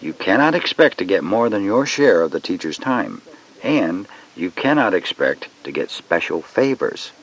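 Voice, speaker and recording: A man's voice: low voice, sing-song, moderate pace, highs cut off, exaggerated voice. A man playing a stern authority figure, giving formal, emphatic instruction in a scripted educational recording. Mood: stern, instructive.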